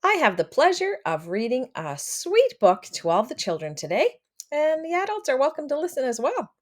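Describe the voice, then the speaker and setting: A woman reading a picture book aloud to children, expressive and addressing them directly as she comments along. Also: on the high side. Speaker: read-aloud storyteller for children.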